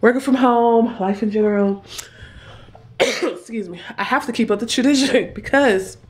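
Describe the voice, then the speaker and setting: A woman's voice: a little high, moderate pace. Chatty young woman with a cough. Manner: chatty, rambling, talks straight to the viewers. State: coughing with a sore throat. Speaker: vlogger. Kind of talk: casual haul vlog. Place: small room at home, indoors. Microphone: handheld phone camera at arm's length.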